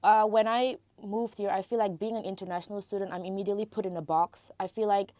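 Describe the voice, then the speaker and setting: Chatty young Malaysian woman, mellow voice. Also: medium pitch, steady tone, quick pace, no room sound. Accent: American-influenced Malaysian English. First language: Tamil. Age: young adult. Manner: chatty, lots of "like" and "you know", frequent restarts. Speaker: podcast guest, international student. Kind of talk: casual interview conversation.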